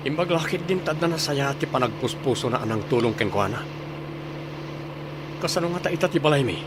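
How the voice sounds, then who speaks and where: A man's voice: fairly high pitch, expressive, brisk, close to an everyday voice. A man playing a concerned adult son returning home to his mother in a radio drama. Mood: hurt, concerned. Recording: vehicle noise behind voice.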